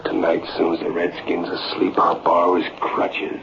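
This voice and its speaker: An actor playing a scheming, hot-tempered frontier villain with a folksy rural Western American drawl in old-time radio style. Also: male voice, low-pitched, completely flat delivery, normal speed. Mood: scheming, brooding. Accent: rural American West. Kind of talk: 1940s radio acting. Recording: muffled vintage radio recording, crowd noise.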